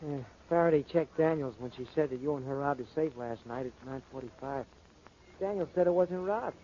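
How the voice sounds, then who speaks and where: Man as high-pitched New crook sidekick. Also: fairly quick, close to an everyday voice. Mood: eager, deferential. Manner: uneducated street talk, deferential, hesitant. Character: eager, loyal small-time crook sidekick, dim but devoted. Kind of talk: 1940s radio comic-sidekick acting. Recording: muffled, noisy 1940s radio recording.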